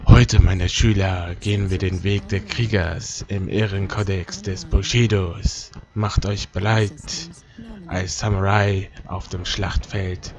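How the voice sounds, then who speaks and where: A man's voice: sits low, fairly even intonation, slow pace, lo-fi sound, voice pushed down. A man voicing a stern samurai master who addresses his students in a solemn, commanding way.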